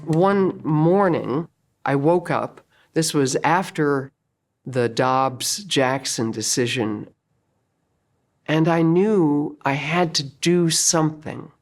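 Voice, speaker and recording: A woman's voice: sits low, slow pace. Earnest older woman, faint music under. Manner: earnest, reflective storytelling. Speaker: playwright and director. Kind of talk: documentary interview.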